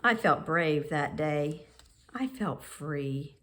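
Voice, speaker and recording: Female picture-book narrator: lowish pitch, unhurried, expressive phrasing with pauses. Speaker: storybook reader. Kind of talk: children's picture book read-aloud.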